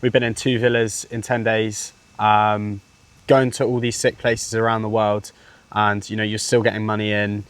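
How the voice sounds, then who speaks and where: A twenty-year-old man answering interview questions in a confident, easygoing way, peppering his talk with "you know". His voice is a little lower than average. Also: little pitch movement, moderate pace, smooth. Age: 20s. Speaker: interview guest, sales closer. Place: villa, indoors.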